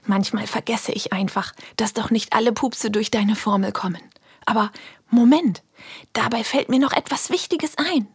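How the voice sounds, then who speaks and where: A woman voicing a lively little kindergarten girl who talks in a bright, eager way. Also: on the high side, normal speed, husky, no echo, clean studio sound. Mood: explaining.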